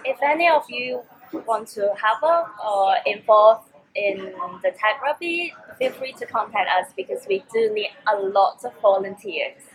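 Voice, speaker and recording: A woman's voice: medium pitch, lively intonation, unhurried, rough recording. A woman who helps organise tag rugby, inviting listeners in a friendly way to volunteer. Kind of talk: interview answers.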